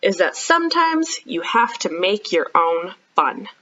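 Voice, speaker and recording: A woman's voice: medium pitch, very animated, a little slow, a bit bright, dull audio, faint music behind. A woman voicing a bold, dramatic young girl in a storybook, with an urgent, theatrical manner. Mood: bold, persuasive.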